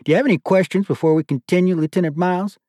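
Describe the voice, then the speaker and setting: A man voicing an authoritative middle-aged army colonel who talks in a commanding, booming way. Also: racing, warm tone, dry recording, studio quality, lightly acted. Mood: formal.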